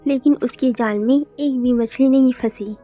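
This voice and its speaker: A woman's voice: a little high, moderate pace, dark tone, soft background music. A woman reading scripted narration for a moral story, as a storyteller.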